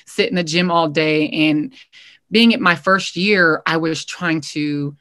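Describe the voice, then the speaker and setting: A woman's voice: low voice, slow, fairly bright tone, dry recording, studio quality. A young American woman speaking as an interview guest, reflecting earnestly on a coaching career in a conversational way. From Hawaii, United States.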